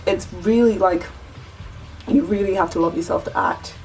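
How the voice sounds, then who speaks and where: A young woman with a deep voice chatting earnestly to camera in a vlog, full of "like" and "you know". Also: moderate pace, darkish tone, far from the mic, a little hiss or hum, low-quality recording. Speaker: vlogger. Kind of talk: casual chat to camera.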